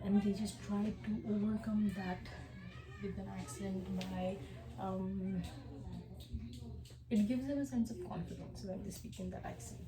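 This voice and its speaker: A young woman whose first language is Hindi, giving a class presentation as a student, hesitant and filling pauses with ums and uhs. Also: mid-range voice, roomy sound, poor audio.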